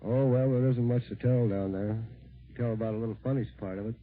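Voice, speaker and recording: A young American man, a serviceman, chatting casually and a little self-consciously with family over 1940s radio, with halting speech. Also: medium pitch, fairly even intonation. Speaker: serviceman speaking to family on air. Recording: tinny band-limited 1940s radio broadcast.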